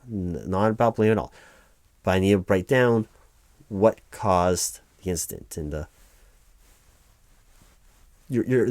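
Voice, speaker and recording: A man's voice: deep voice, a little mellow, no room sound. A man playing a flustered, apologetic office clerk in a one-sided ASMR roleplay, hesitant and rambling as he corrects himself. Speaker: claims paperwork interviewer.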